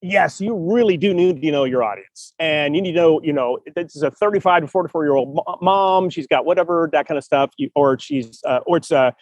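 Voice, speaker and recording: A man's voice: on the high side, a little fast, hardly any pauses, no room sound. A man hosting a podcast, giving earnest, encouraging writing advice in a casual chat and repeating phrases for emphasis. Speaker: podcast host, writing coach.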